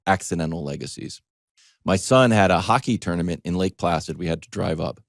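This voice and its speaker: A middle-aged man telling a conversational story as a sports talk panelist, animated and wry, slipping into imagined dialogue. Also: fairly low pitch, dry recording, clean studio sound.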